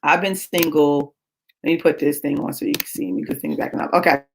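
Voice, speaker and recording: Chatty woman in her forties, lowish voice. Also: steady tone, fairly quick, noisy background. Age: 40s. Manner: chatty, confiding, tag questions. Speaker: live show host. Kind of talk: casual personal talk to viewers.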